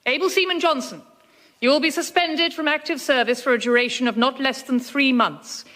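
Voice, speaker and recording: A woman's voice: high-pitched, fairly quick, a bit bright. An actress playing a stern female admiral presiding over a radio comedy court martial, formal and baffled. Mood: firm. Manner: formal, incredulous. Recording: reverberant hall.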